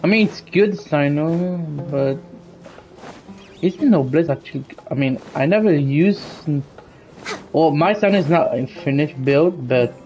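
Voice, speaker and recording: A man's voice: fairly high pitch, a little slow, mellow, lo-fi sound, faint music behind. A man streaming a game, chatting casually in an informal, slangy way. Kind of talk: casual gameplay chat.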